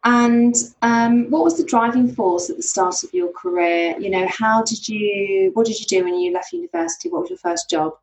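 A woman's voice: fairly high pitch, expressive, normal speed, few pauses, a little mellow. A woman hosting an interview, chatty and friendly, laughing easily. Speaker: interviewer, host. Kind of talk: conversational interview. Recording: echoey room.

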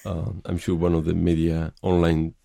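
A middle-aged man with a Spanish accent speaks in a deep, gravelly, mellow voice. He answers interview questions thoughtfully and often pauses on fillers. The sound is close and dry. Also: flat delivery, no room sound. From Spain. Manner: thoughtful, hesitant, many fillers. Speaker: film director.

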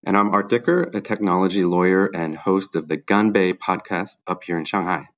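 Low-voiced man on a muffled line. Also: fairly even intonation, moderate pace, a bit bright. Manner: reads prepared figures and questions. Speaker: podcast co-host, technology lawyer. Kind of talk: scripted podcast hosting.

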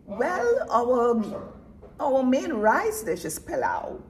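A woman's voice: high-pitched, huge pitch swings, very slow, darkish tone, recorded from a distance. A woman from Trinidad hosting a live-streamed interview at a music event, affirming her guests with many yeses and laughing often.

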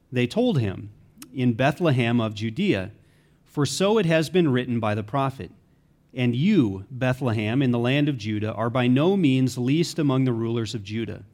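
A man reading scripture aloud in a plain voice, with a small slip now and then. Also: medium pitch. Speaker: scripture reader.

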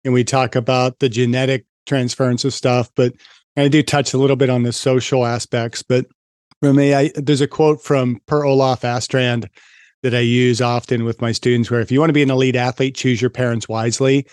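A man's voice: medium pitch, level tone, fairly quick, darkish tone, no echo, clean studio sound. A man hosting a conversational interview, explaining his own academic angle in an engaged way. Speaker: podcast host and physiology teacher.

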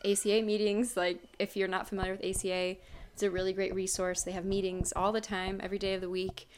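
A woman in her thirties hosts a casual conversation, her voice smooth and clear at a middle pitch. She speaks earnestly and chattily, laughing easily between points. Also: flat delivery, fast talker, a little mellow. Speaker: host.

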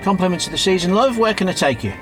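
An actor playing a chatty, good-hearted but easily exasperated British taxi driver in a radio drama. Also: male voice, high voice, animated, racing, music in the background. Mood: cheery, chatty. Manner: chatty, colloquial, calls passenger love.

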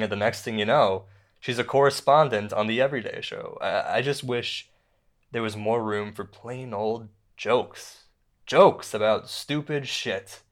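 An actor playing an insecure, self-pitying stand-up comedian in a radio play, speaking in a halting, conversational way. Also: male voice, medium pitch, lightly acted. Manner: halting, conversational, with repeated words. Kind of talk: naturalistic radio play dialogue.

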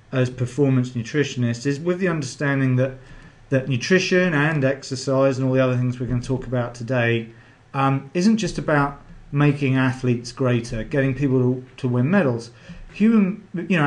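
A middle-aged man hosting a podcast, chatty and rambling, with a lot of ums. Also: mid-range voice. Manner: chatty, rambling with many fillers. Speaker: podcast host. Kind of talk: podcast interview.

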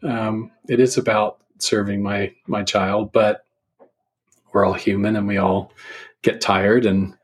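Middle-aged man, lowish husky voice, hesitant, thoughtful, casual podcast guest. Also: little pitch movement, slow pace. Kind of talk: casual reflective podcast conversation.

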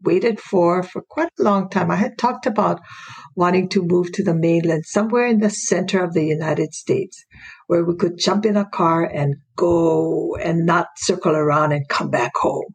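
An older woman from Hawaii, in her sixties or beyond, telling a personal story unscripted as an interview guest, her voice gravelly and husky. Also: lowish voice, moderate pace. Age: 60s or older. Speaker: interview guest, retired educator.

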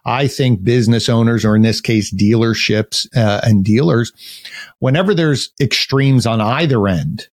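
A man with a loud, big voice, a marketing agency owner speaking as a podcast guest, explaining earnestly in long, rambling sentences. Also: mid-range voice, normal speed, dry recording, studio quality. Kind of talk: casual interview chat.

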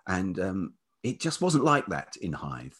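A middle-aged man from Kent with a southern English accent and a velvety voice, telling personal stories thoughtfully as an interview guest, often pausing mid-sentence. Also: sits low, very animated, fairly bright tone, husky. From Kent, England. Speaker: podcast guest, writer and musician. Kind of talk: reflective personal storytelling in conversation.

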